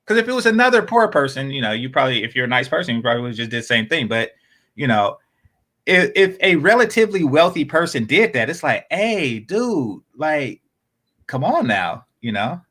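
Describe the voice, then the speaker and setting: A man talking in a casual podcast chat, rambling with many fillers and restarts, often dropping into low, quiet asides. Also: sing-song, a little fast.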